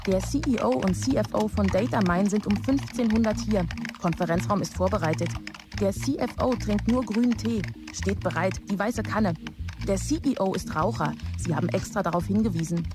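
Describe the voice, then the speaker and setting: Woman acting dutiful executive assistant, medium pitch, efficient, audio drama, music underneath. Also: normal speed, lightly acted. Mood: businesslike. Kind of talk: audio drama, office message. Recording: music behind the voice.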